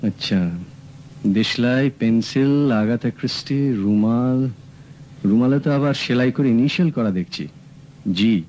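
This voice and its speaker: An actor playing a sharp, calm private detective in a radio drama, questioning a client with measured, probing questions. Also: male voice, mid-range voice, lightly acted. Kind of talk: 1970s radio drama acting. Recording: dull lo-fi old radio recording with faint hiss.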